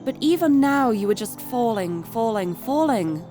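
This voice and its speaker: A woman's voice: a little high, expressive, moderate pace, mellow. A woman from the UK with a British accent, live streaming a game, chatting and reading aloud. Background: music.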